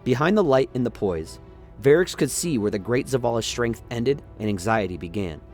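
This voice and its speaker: A man's voice: medium pitch, expressive, normal speed, darkish tone, faint music behind. A man narrating a science-fiction radio play in a dramatic, scripted storytelling style. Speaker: narrator, lore reader.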